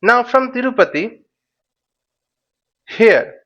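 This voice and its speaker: A man giving an informal tutorial in a halting, step-by-step way, repeating phrases and checking with short questions. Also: very high voice, lively intonation, pushed and bright, dull audio. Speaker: flight simulation instructor and presenter.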